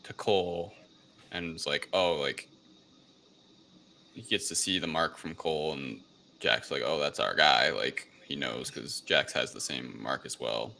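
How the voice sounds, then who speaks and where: A man's voice: very deep voice, a little slow, bright, forceful tone. A man co-hosting a casual film review chat, recapping scenes informally. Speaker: podcast co-host. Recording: clear background noise.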